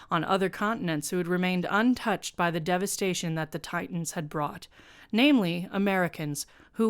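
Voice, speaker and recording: A woman with a clear, smooth voice pitched on the lower side reads her own comic fiction aloud. Her delivery is sardonic and snarky, like an author presenting to viewers. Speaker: fan fiction writer and show presenter.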